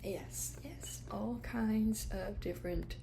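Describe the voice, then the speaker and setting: A woman speaking in a soft, breathy whisper, playing a shop clerk in an unscripted, gently hesitant ASMR roleplay. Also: mid-range voice, slow pace, noisy background.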